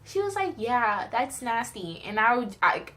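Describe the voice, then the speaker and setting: A woman's voice: a little high, big pitch swings, unhurried, pushed and bright. Young New woman, casual storyteller. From New York, United States. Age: young adult. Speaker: vlogger telling personal stories.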